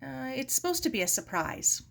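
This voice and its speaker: A nervous, polite young girl from a children's storybook, acted out by a woman reader. Her voice sits high and moves in lively swings. She sounds hesitant, as if covering up a fib. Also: vocal fry, exaggerated voice. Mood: flustered. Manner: hesitant, halting. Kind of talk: children's storybook read aloud.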